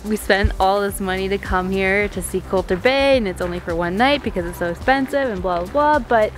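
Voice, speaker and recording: A woman's voice: mid-range voice, fairly quick, faint music behind. A woman in her twenties chatting casually to a camera as a travel vlogger. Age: 20s. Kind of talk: casual vlog chat to camera. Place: inside a truck and outdoors at a campground. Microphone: camera held at arm's length.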